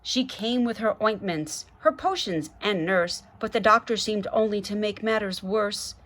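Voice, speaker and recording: Expressive woman reading a children's story. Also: medium pitch, measured pace, a little hiss or hum. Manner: rhythmic, dramatic, addressing children. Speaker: guest storybook reader. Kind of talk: expressive children's read-aloud, chatting to listeners.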